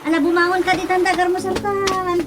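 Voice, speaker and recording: A woman's voice: very high voice, little pitch movement, brisk. An actress playing a busy, coaxing mother with an Ilocano accent in a radio drama. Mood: gently coaxing.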